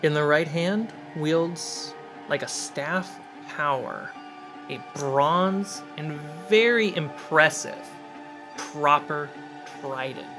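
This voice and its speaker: A game master narrating a fantasy tabletop adventure in a measured way, pausing between short atmospheric phrases. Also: mid-range voice. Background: cinematic background music.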